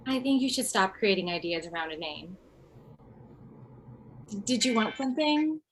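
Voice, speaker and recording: A woman's voice: medium pitch, sing-song, normal speed, a little hiss or hum, close to an everyday voice. A woman playing a wry, blunt mother in a comedy script table read. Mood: brisk, exasperated. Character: wry, blunt widowed mother of two, former standup comic. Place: remote video call.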